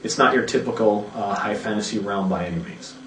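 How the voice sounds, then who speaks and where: Low-voiced man, halting and repetitive. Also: a bit bright. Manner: halting, repeats words. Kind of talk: behind-the-scenes casual chat. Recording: echoey room, distant mic.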